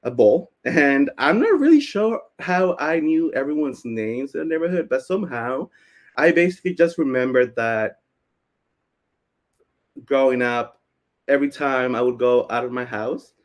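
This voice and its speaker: Man, native Spanish speaker from Peru, mid-pitched voice, informal presentation, conversational personal storytelling. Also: normal speed. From Cusco, Peru. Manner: conversational, frequent "like" and "basically". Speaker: speaker, community founder presenting.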